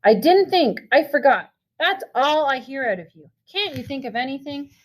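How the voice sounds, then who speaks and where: A woman reading the part of a furious older brother who shouts and scolds. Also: a little high, fast, close to an everyday voice. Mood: furious scolding. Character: older brother, angry stern young man.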